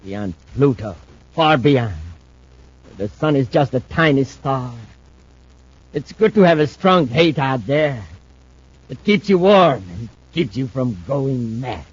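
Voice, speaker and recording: An actor playing a weakened, obsessed spacer in a 1950s radio drama, brooding and intense. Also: male voice, medium pitch, expressive, slow, slightly rough. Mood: weary, bitter. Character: thin, weakened, obsessed male spacer seeking his old partner. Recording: muffled old-time radio recording.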